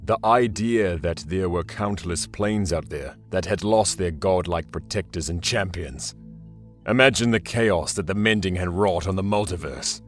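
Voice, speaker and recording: An ancient demon warlord with a deep, low voice. He is arrogant and coldly calculating, weighing each phrase with dry, sardonic deliberation. It is an audio drama monologue over faint music. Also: unhurried. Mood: reflective, proud.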